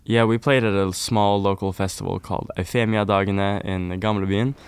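A young man from Norway, a folk musician, chatting casually and warmly in an interview, with lots of "kind of" and "like". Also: on the low side, moderate pace, clear, resonant tone, no echo. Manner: relaxed, friendly, uses fillers like "kind of, like".

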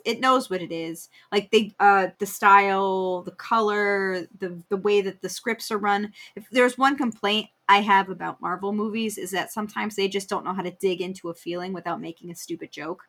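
Woman podcast host just chatting, jokey and got opinions, medium pitch. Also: fairly bright tone. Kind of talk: casual pop-culture chat.

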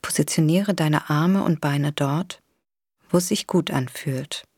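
Slow soothing lady doing guided relaxation, soft husky voice, super dry close sound. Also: low-pitched, dry recording. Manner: soothing, gentle, unhurried with long pauses. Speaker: guided meditation instructor. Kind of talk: guided imagery relaxation narration.

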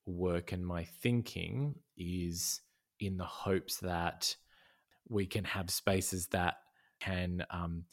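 A man's voice: sits low, fairly even intonation, extremely slow. A man talking as a podcast guest in a casual conversation, thoughtful, with many fillers and restarts. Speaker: podcast guest, design educator.

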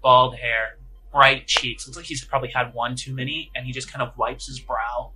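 A man's voice: medium pitch, little pitch movement, normal speed, bright, forceful tone, husky, soft background music, rough recording. A man narrating a tabletop role-playing game as game master in a conversational, descriptive, improvised way.

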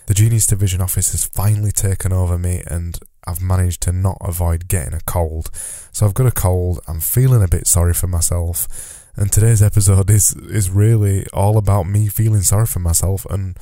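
Man, nasal from a cold, deep voice, very dark tone, solo podcast, motivational ramble. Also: no room sound, studio quality. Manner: rambling, self-deprecating, motivational. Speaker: podcast host.

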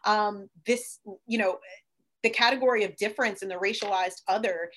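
A woman's voice: mid-range voice, normal speed, fairly bright tone, low-quality recording. A young American woman speaking as a podcast guest, conversational yet articulate and emphatic. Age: young adult. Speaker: podcast guest, PhD student. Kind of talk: casual, thoughtful interview talk.

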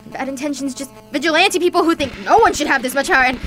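A woman's voice: sits high, fast talker, fairly bright tone, faint music behind, low-quality recording. A young woman playing an anxious, stubborn, sarcastic superpowered character in a modern audio drama, guarded and informal in manner.

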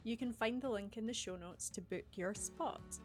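A woman's voice: on the high side, moderate pace, soft background music. A woman hosting a podcast, reading out scripted announcements and introductions. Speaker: podcast host.

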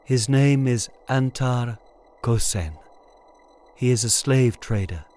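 A man doing a slow, grave captive leader. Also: mid-range voice, lightly acted. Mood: solemn. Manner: slow, quiet, solemn. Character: grave, bitter freed male captive leader.

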